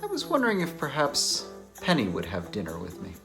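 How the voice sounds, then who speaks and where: A man performs a reserved scholarly bachelor in a novel dramatization. His pitch moves freely as he muses in formal, slightly hesitant phrases, with a wistful edge. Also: wildly swooping pitch, fairly quick, gravelly, over music. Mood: wistful, put out. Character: Rupert, reserved, bookish anthropologist, a wistful bachelor.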